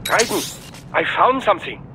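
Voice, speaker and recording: High-pitched, eager tech genius. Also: male voice, sing-song, normal speed, low-quality recording. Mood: eager, pleased. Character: eager, brainy male technical adviser. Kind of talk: video game radio-call acting.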